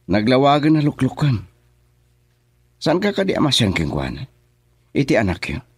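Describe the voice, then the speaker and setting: A man's voice: mid-range voice, huge pitch swings, moderate pace, breathy edge, no room sound. An actor playing an indignant young man confronting his friends, in Ilocano radio drama with a Filipino accent. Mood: indignant, scolding.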